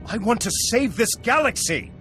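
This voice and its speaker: A man's voice: very high voice, lively intonation, moderate pace, sharp tone, faint music behind, full character voice. An actor playing an earnest, agitated Jedi in a video game, accusing and dramatic in his delivery. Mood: urgent, agitated. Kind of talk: cinematic video game acting.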